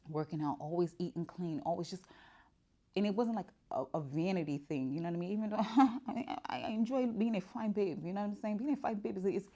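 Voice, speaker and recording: Low-voiced chatty woman in her forties. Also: warm tone. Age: 40s. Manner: chatty and candid, with filler words and restarts. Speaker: vlogger. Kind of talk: casual personal vlog. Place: her wig shop.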